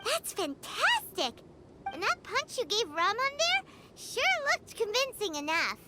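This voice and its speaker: A woman voicing a tiny, childlike fairy companion in a video game, squeaky, excitable and naive. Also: a little slow, exaggerated voice. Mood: delighted. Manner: refers to herself in third person. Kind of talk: video game cutscene acting. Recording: loud game music underneath.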